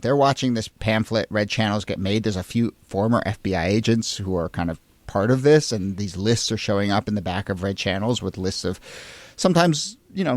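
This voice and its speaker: A man's voice: fairly low pitch, darkish tone, no room sound. A man hosting a conversational history discussion, informally thinking aloud in long sentences. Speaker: podcast host.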